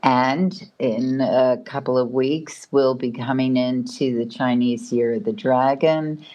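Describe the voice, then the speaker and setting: A middle-aged woman astrologer giving a casual weekly forecast, talking in an unhurried, rambling way with restarts and soft laughs. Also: very deep voice, fairly even intonation. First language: not Spanish (lives in Mexico).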